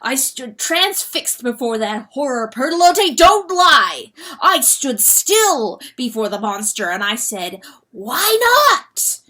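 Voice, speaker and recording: A woman's voice: sits high, big pitch swings, slow pace, hardly any pauses, sharp tone, pitched above the performer's own voice, full character voice. A woman voicing an anguished, guilt-ridden male rooster in a dramatic animal fantasy audiobook, wailing and choked with self-loathing. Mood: anguished confession. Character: anguished guilt-wracked male rooster, once a proud lord.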